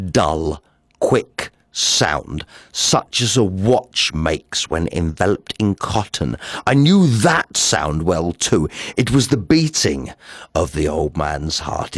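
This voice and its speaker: A man's voice: sits low, vocal fry, slightly rough, dry recording, clean studio sound, exaggerated voice. A man playing a nervous, obsessive madman confessing a murder, in a theatrical gothic horror monologue. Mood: insistent, agitated, then tense, restrained. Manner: theatrical, slow, swinging from hushed to agitated.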